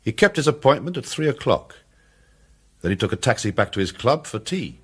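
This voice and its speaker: An actor playing a composed English amateur detective in a period drama, recounting a case in a measured, formal, courteous manner. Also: male voice, mid-range voice, very animated, breathy edge. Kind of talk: British period drama narration.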